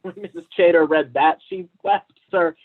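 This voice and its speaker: The sound is narrow and phone-like. A young man plays a pompous poet and husband in a period stage comedy, his voice pitched somewhat high. He is vain and blustering, with stiffly formal speech. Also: steady tone, moderate pace, natural voice. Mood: aggrieved, vain. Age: young adult. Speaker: student actor.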